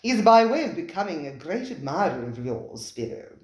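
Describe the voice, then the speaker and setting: A reader voicing a worldly, suave man who speaks in a measured, knowing way. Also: mid-range voice, big pitch swings, lightly acted. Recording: roomy echoing room.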